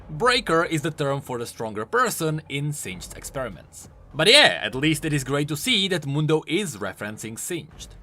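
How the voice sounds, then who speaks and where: Male lore video host: scripted, emphatic commentary, sharp tone, hugely lively pitch, music bed. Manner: emphatic, swooping delivery. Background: background music.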